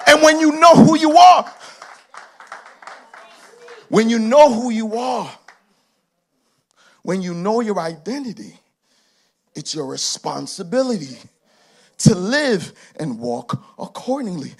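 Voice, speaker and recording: A man of Haitian heritage preaching a sermon. His delivery is emphatic, builds through shouted repetitions, and is broken up by laughing asides. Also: high voice, very animated, takes it slowly, gravelly, pauses often, breathy edge.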